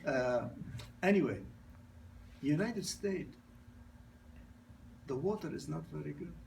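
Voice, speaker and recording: A gravelly-voiced older man with a non-native accent pitches a product in loose, broken English and drifts into personal stories. His voice sits a little high, and he is caught from a distance with a faint hum of the room behind him. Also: animated, takes it slowly, a little mellow. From grew up in New York. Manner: broken grammar, repeats and restarts, storytelling. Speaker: product presenter. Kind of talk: informal sales presentation with anecdotes.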